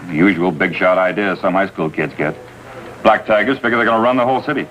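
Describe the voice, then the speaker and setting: Male, deep American voice; brisk, factual juvenile officer, quick clipped delivery, vintage TV police drama. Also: a bit bright. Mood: matter-of-fact briefing. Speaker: supporting actor. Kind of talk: 1950s TV police drama acting. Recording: faintly noisy vintage TV soundtrack.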